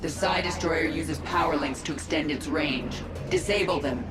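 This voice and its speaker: A woman's voice: low voice, moderate pace, natural voice. An actress playing a vengeful, resolute alien swarm queen in a video game, with dramatic, commanding delivery. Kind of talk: video game cinematic acting. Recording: echoey voice with loud music.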